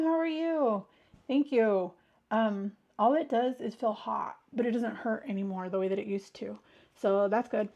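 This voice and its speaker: A woman's voice: a little high, gravelly, a little mellow, dull audio. Chatty congested woman livestreaming. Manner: chatty, rambling, greets viewers mid-sentence. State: congested, recovering from a sinus illness. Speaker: livestream host. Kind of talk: casual chat with live viewers.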